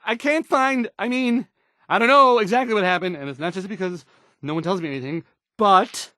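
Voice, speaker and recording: A man's voice: high-pitched, brisk, sharp tone, no room sound, clean studio sound. An actor playing an awkward young male radio newscaster in a video game, nervous and hesitant, stammering and trailing off. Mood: awkward, flustered. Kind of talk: in-game radio news acting.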